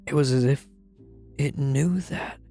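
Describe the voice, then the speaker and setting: A man playing a troubled, guilt-ridden narrator confessing a grim childhood memory in a brooding, dramatic horror-story style, with a gravelly, husky voice. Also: medium pitch, unhurried, mellow. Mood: grim, haunted. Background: music.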